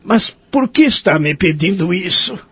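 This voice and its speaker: Man acting a husky, high-pitched old Brazilian man. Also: expressive, a little slow, fairly bright tone, no room sound, full character voice. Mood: uneasy. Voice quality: husky, creaky. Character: anxious, lonely old man in a nursing home. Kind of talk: audio drama acting. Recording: dull lo-fi audio with music behind.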